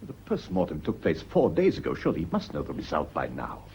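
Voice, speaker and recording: An actor playing an irritable, uneasy British master of the house in a radio play, sardonic and at times nervously hesitant. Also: male voice, rumbling low, huge pitch swings, fast talker, full character voice. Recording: noisy old radio drama recording.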